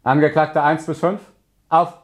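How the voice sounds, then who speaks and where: An actor playing a stern, rigid judge who speaks in pompous formulas in an experimental radio play. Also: male voice, on the high side, flat delivery, a little slow, close to an everyday voice. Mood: accusing, commanding.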